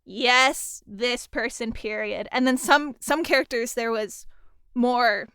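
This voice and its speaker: A woman interviewing a colleague in a casual, expressive and gushing chat. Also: a little high, slow pace, clean tone, no echo, clean studio sound. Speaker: interviewer and audio drama colleague.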